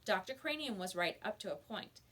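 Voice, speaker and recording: A woman plays an old storybook shopkeeper, a stern man who stays unhurried. He lectures in measured, instructive phrases with crisp, bright tone and lively rises and falls. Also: mid-range voice. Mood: firm, moralizing. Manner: measured, didactic.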